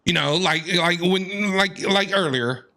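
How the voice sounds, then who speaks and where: A man streaming a game, reacting with quick jokes and animated quips. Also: high voice. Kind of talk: reaction commentary over a game.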